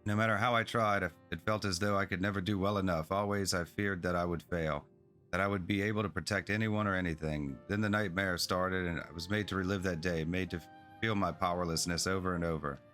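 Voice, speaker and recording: A man reading aloud as an earnest young girl priestess who wants to prove herself, in an even, sincere tone. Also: low-pitched, fairly quick, soft background music. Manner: reads long dialogue aloud in an even, sincere tone.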